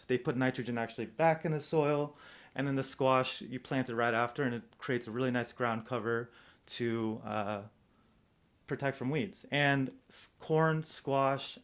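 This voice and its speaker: A man with an American accent giving an informal academic presentation, thinking aloud in a reflective, hedging way and posing rhetorical questions. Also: medium pitch. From United States, Southern California. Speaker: presenter, domestic violence practitioner.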